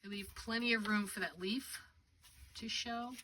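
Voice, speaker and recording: A woman's voice: mid-range voice, fairly even intonation, a little slow, bright, forceful tone. A congested woman chatting and thinking aloud as she demonstrates a craft project live. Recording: distant mic with background noise.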